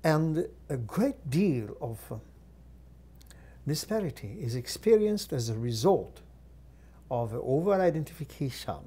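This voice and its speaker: A man channels a guide entity in a formal, unhurried teaching voice. His pitch sits a little high and his tone is mellow and dark. He breaks his phrases with long pauses and quiet hums. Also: very animated, husky. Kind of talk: slow deliberate channeled teaching.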